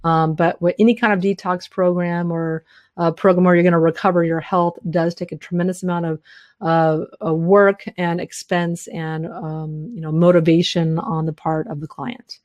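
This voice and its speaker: A woman's voice: on the low side, flat delivery, a little mellow, dry recording, muffled recording. A woman hosting a live health webinar, answering listener questions at length with frequent fillers; she is tired and losing her voice. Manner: talks fast in long run-on answers with many fillers.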